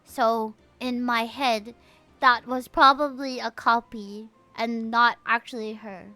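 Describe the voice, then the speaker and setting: A woman livestreaming a game and chatting with viewers in an animated, emphatic, gushing way, with music behind her. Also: fairly high pitch, slow, no echo. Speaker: game streamer. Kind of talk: livestream chat reacting to a game.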